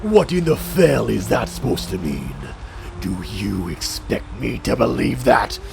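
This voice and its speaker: A man acts out an angry orc guard for a fantasy audio drama. His voice is low and full of fry, swinging as he scolds and accuses. He is suspicious and gruff in every line. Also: very animated, measured pace, music in the background, exaggerated voice. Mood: angry, accusing. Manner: berating, accusatory.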